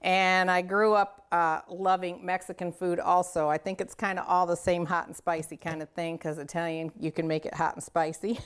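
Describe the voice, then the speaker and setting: A woman's voice: lowish voice, little pitch movement, moderate pace, hardly any pauses, clear, resonant tone. A middle-aged woman hosting a casual cooking demonstration, chatty, folksy and enthusiastic as she talks straight to viewers. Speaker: cooking show host. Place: home kitchen, indoors.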